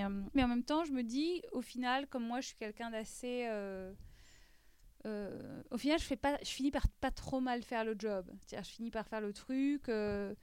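A woman's voice: fairly high pitch, dark tone, clean tone, dry recording. A woman in her thirties telling a personal story as an interview guest, hesitant with frequent restarts and fillers.

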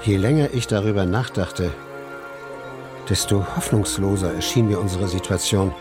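Earnest adventurer-narrator over soft music played by a man. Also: low-pitched, normal speed, lightly acted. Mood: earnest narration. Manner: articulate, storytelling. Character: male expedition reporter recounting his adventures, sober, earnest. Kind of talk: scripted radio drama narration.

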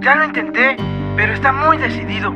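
A defiant accomplice in a radio drama. His voice is high for a man and insistent, with clear guitar music underneath. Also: a little fast, highs cut off. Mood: urgent. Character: defiant mototaxi driver, a crime boss's weary accomplice.

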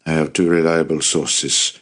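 A man's voice: rumbling low, level tone, moderate pace, fairly bright tone, pitched below the performer's own voice. A man voicing a determined, impassioned male resistance fighter in an audiobook, earnest, blunt and persuasive. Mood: blunt, confident.

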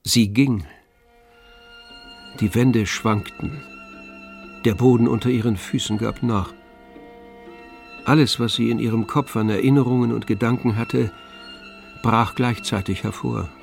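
A man narrating a literary radio play from a script in a measured, solemn manner. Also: mid-range voice, fairly even intonation, creaky, faint music behind.

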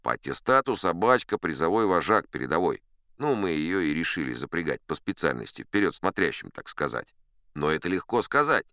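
Deep-voiced old sea captain storyteller. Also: male voice, big pitch swings, sharp tone, clear, resonant tone, no room sound, clean studio sound, full character voice. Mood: wry. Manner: wry, unhurried raconteur with dramatic emphasis. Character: old sea captain spinning tall tales, colourful and self-assured. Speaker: narrator. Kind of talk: theatrical first-person audiobook storytelling.

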